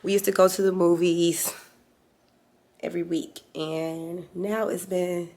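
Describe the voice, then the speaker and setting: Hoarse chatty woman, low voice. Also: little pitch movement, unhurried, darkish tone. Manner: chatty and teasing. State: hoarse from a lingering sore voice. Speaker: vlogger. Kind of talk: casual vlog chat to camera.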